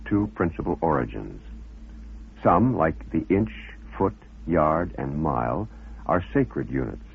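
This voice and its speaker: Male narrator, very low register, measured scholarly read, heard through narrow, phone-like radio audio. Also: husky. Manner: formal, measured, expository. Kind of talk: scripted narration, reading scholarly text. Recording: muffled phone-like old radio recording.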